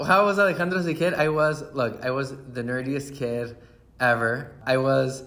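Guest di podcast: uomo con cileno, racconta ridendo spesso, frasi ricominciate, tono medio. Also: male voice, mid-range voice, normal speed. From Chile. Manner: animated, laughs often, many restarts. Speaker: brand founder, guest. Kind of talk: casual interview storytelling.

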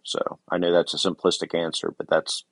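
A man's voice: deep voice, completely flat delivery, fairly quick, vocal fry, fairly bright tone, a little hiss or hum. A man calling in to a podcast with a casual, unscripted message.

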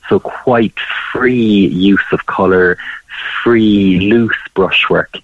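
A man talking in a measured, descriptive way as an art gallery guest in a radio interview. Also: lowish voice. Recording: dull band-limited, roomy remote radio feed.

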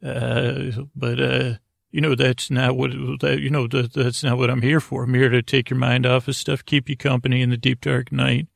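A man hosting a bedtime podcast in a rambling monologue, meandering and halting as he interrupts himself and repeats words. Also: medium pitch, flat delivery, gravelly, dry recording, clean studio sound. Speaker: podcast host. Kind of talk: rambling bedtime monologue to help listeners sleep.

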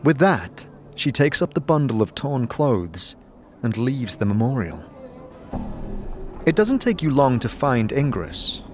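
A man's voice: mid-range voice, sing-song, a little slow, soft background music. A man reading scripted, dramatic narration as the narrator of an audio drama.

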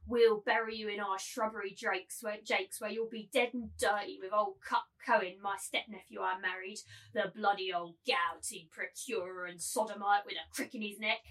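A woman's voice: mid-range voice, fairly even intonation, normal speed, a bit bright, close to an everyday voice, rough recording. A woman acting a mocking, bullying brothel madam in a dramatised novel reading, with a cutting, taunting delivery. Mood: cutting contempt. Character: scornful bullying brothel madam turned mannish tyrant.

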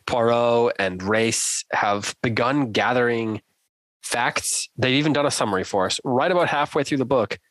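A man's voice: medium pitch, moderate pace, fairly bright tone, dry recording, low-quality recording. A man hosting a casual book-club podcast, playfully guiding the talk with prompts and questions. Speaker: podcast host.

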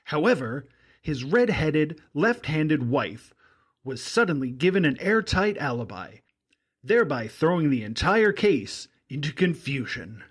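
Husky, dramatic male radio announcer. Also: a little high, very animated, measured pace. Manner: dramatic recap delivery. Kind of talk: scripted 1940s radio drama narration.

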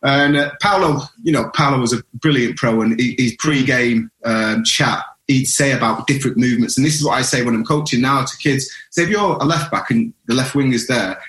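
Ex-footballer guest, rambling in a roomy room. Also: male voice, medium pitch, brisk, hardly any pauses, a bit bright. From England. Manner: earnest, rambling reminiscing with restarts. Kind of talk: casual podcast chat.

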